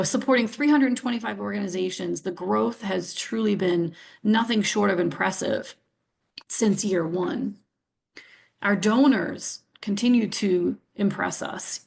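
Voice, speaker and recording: A woman presents a webinar over a video call. Her voice is gravelly and scratchy from sinus trouble, and her pitch sits in the middle. She keeps an upbeat, encouraging tone with plenty of fillers.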